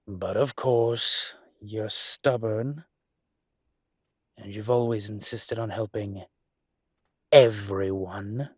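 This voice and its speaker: A man performs a male dragon shifter who rules as a mafia boss. His voice is low and husky, and he takes his time, leaving deliberate pauses. He sounds smug and teasing yet protective, recorded close and dry like an intimate audio drama. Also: fairly even intonation, dry recording. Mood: fond exasperation. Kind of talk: slow intimate roleplay audio acting.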